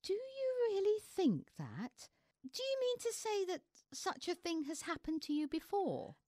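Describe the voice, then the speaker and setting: An actress playing an earnest, naive young wife in a radio play, with a plaintive, theatrical delivery. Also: female voice, very high-pitched, big pitch swings, unhurried, warm tone, no room sound, studio quality, exaggerated voice. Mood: bewildered, hesitant.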